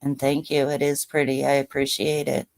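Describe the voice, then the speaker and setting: A woman's voice: extremely low pitch, monotone, normal speed, gravelly, darkish tone. A woman in her thirties hosting a casual livestream chat, laid-back and chatty with many fillers. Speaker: livestream host.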